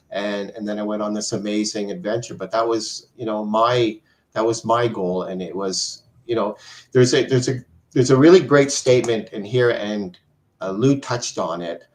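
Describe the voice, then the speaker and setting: Slightly deep-voiced man telling a story. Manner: rambling, with frequent "you know" pauses. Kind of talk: conversational personal storytelling.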